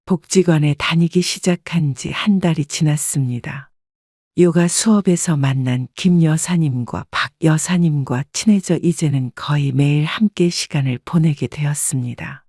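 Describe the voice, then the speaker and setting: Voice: mid-range voice, measured pace, a little mellow, no echo, studio quality. A narrator reading a scripted first-person story in a composed storytelling manner.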